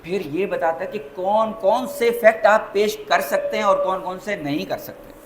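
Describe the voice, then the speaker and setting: A Pakistani man giving a lecture as a lawyer, deliberate and emphatic, slowing down when he mixes in English phrases. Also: high voice, slight background noise.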